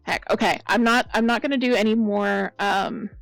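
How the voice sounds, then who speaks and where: Chatty female streamer, gravelly croaky voice, overloaded blown-out mic, dry sound. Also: mid-range voice, moderate pace, dry recording, soft background music. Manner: chatty, rambling, greets viewers by name. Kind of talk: casual stream chat.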